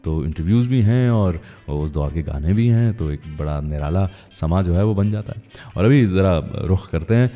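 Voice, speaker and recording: A man's voice: low voice, lively intonation, normal speed, big-room sound, dull audio, faint music behind. A man hosting a radio show in a chatty, conversational storytelling manner, speaking directly to his listeners.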